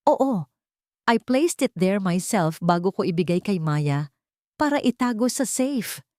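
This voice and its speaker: A woman's voice: mid-range voice, expressive, unhurried, darkish tone, dry recording, clean studio sound, close to an everyday voice. A woman voicing a poised, rich fiancée in an audio drama, speaking dramatically with English phrases mixed in. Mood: distraught. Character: poised rich fiancée, falsely sweet, theatrically distraught.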